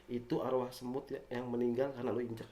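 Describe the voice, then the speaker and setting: A man's voice: medium pitch, level tone, fast talker, dark tone, echoey room. A young man with a Jakarta accent in a casual comedic podcast chat, explaining things in a playful, mock-earnest way as a guest. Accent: Jakarta Indonesian.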